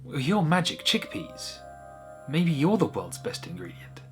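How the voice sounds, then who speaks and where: A man's voice: a little high, wildly swooping pitch, unhurried, fairly bright tone, faint music behind, full character voice. A man voicing a female pig chef in a short story, determined, wary and plain-spoken. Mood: blunt, dismissive.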